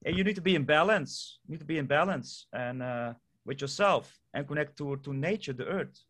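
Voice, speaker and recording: A man from the Netherlands with a Dutch accent, a guest speaking English as a second language, talking haltingly with many fillers and repeated words. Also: fairly high pitch, lively intonation, fairly bright tone. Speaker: guest, permaculture project founder. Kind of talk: casual interview answers.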